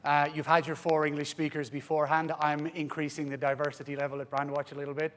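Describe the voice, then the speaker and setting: A man's voice: fairly high pitch, flat delivery. Irish man moderating a conference panel. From Ireland. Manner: jokey, warm-up banter with pauses and "uh" fillers.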